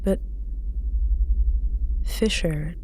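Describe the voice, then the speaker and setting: A woman's voice: very slow, darkish tone, slight background noise, low-quality recording. A woman playing a hard, sardonic space-crew captain in an audio drama, biting and contemptuous in her delivery. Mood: accusing. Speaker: voice actor.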